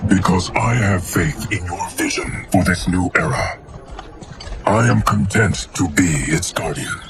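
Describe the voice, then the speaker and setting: Very deep, solemn ancient steward. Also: male voice, gravelly, noisy background. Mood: calm, grave. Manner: measured, formal. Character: ancient, loyal, solemn male steward of time. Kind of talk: video game cinematic acting. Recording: music behind the voice. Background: music.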